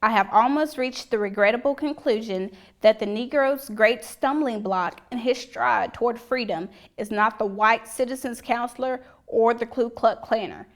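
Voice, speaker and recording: A woman reading a historic letter aloud, deliberately and phrase by phrase. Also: fairly high pitch. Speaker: reader at a commemorative public reading.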